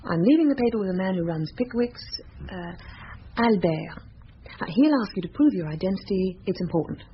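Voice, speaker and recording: Actress as flustered young bride, slightly muffled. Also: female voice, medium pitch, expressive, brisk, close to an everyday voice. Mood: hesitant recalling. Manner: hesitant, indignant. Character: flustered, defensive young newly married woman. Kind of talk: radio drama acting. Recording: dull radio recording with background noise.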